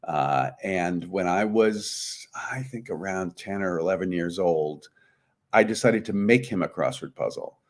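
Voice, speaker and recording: A man's voice: sits low, measured pace. A man speaking as an interview guest, giving conversational, explanatory answers. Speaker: guest, puzzlemaker.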